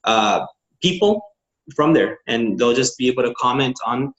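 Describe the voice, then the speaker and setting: A man's voice: mid-range voice, lively intonation, moderate pace, muffled recording. A man, a network marketing consultant from Texas, casually teaching on a group call, eager and informal, with many fillers and restarts.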